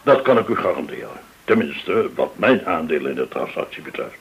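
A man acting in a radio play as a smooth, discreet broker of shady gold deals, formal, courteous and cautioning. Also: rumbling low, very animated, moderate pace, exaggerated voice. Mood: smooth assurance. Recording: hissy, noisy old radio recording.

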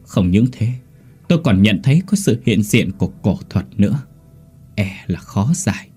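Man playing grave, husky exorcist master. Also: lowish voice, animated, normal speed, warm tone, faint music behind. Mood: troubled, grave. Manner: solemn, pronouncing. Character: grave exorcist sorcerer master, solemn and commanding.

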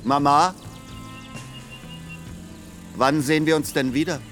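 An actor playing a devoted, patient grown son who also narrates a literary radio play in a measured, gentle, melancholy way. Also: male voice, fairly high pitch, steady tone, music in the background. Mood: pleading.

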